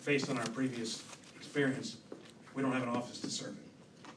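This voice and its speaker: A man's voice: medium pitch, fairly even intonation, moderate pace. A man speaking formally as an agency representative at a hearing. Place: indoor hearing room. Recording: echoey room, distant mic, noisy.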